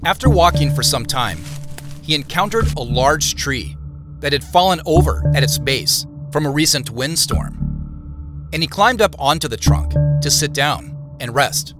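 A measured audio drama narrator, slightly high male voice, music clearly behind him. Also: animated. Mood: measured, mysterious. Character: storyteller recounting clues and an eerie boyhood tale.

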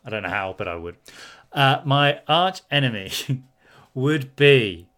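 A man's voice: mid-range voice, lively intonation, measured pace, fairly bright tone. A man co-hosting a casual chat, enthusiastic and teasing as he answers viewer questions.

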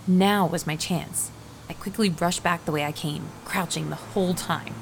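A woman's voice: on the low side, steady tone, moderate pace, vocal fry. Scared regular woman in an audio drama, nervous n hesitant, bit of background noise. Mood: tense. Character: anxious ordinary woman, a frightened witness narrating her ordeal. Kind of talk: first-person audio drama narration and dialogue.

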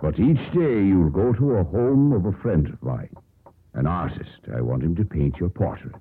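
An actor playing a wry, stern older sea-captain father in a 1950s radio drama, talking with dry, unhurried patience. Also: sits low, expressive, a little hiss or hum. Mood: firm. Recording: muffled, tinny 1950s radio broadcast.

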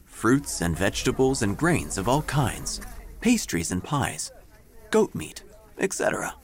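A man narrating a scripted audio drama in a measured, theatrical, dryly whimsical storytelling manner. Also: mid-range voice, very animated.

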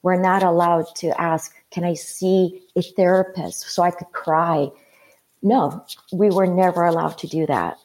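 A woman from Mexico telling her life story as an interview guest, earnest and halting, with many fillers. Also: lowish voice, steady tone, darkish tone, low-quality recording.

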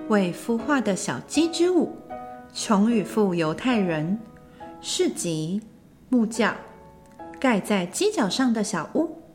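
A woman's voice: medium pitch, darkish tone. Female narrator for scripted explanation, set over prominent music.

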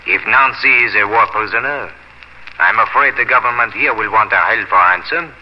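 A grave, kindly middle-aged father, played by an actor with a fairly low voice and measured delivery. It is 1950s radio drama, band-limited and faintly noisy. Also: dull audio, lightly acted. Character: grave, kindly father of a French colonial household.

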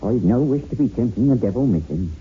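An actor playing an aged, superstitious gardener, deferential and hesitant, in a 1960s radio horror drama. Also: male voice, medium pitch, lively intonation, fast talker, close to an everyday voice. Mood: hesitant, fearful. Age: older. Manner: hesitant, ominous. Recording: muffled, noisy old radio recording.

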